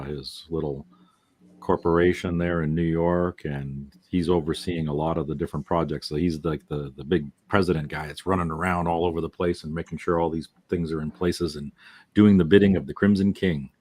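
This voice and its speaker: A middle-aged man with a very deep voice talks informally as a panelist. His tone is husky and unpolished, in the easy style of a casual video-call discussion. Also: fairly even intonation, brisk, a little mellow. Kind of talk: casual chat. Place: video call from an indoor room.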